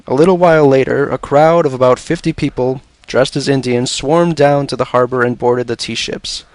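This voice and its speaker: A man reads a scripted educational narration in a measured, expository way. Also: mid-range voice, fairly even intonation, darkish tone, dry recording.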